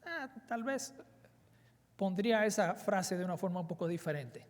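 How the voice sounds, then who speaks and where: Earnest Dominican preacher in a reverberant hall. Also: male voice, high-pitched, lively intonation, moderate pace. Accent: Dominican Spanish. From Dominican Republic. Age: 40s. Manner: earnest, emphatic, with personal anecdotes. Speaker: conference speaker. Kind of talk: sermon.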